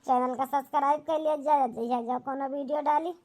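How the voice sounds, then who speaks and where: A woman's voice: high voice, steady tone, fairly quick, darkish tone. A woman with an Awadhi accent, a cartoon video creator speaking directly to viewers in an outro. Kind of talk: channel outro addressed to viewers.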